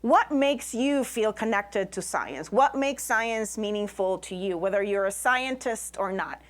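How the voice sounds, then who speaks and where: A woman's voice: mid-range voice, animated, unhurried. Emphatic woman giving a talk. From Puerto Rico. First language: Spanish. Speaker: invited speaker, science communicator. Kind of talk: live public presentation with audience questions.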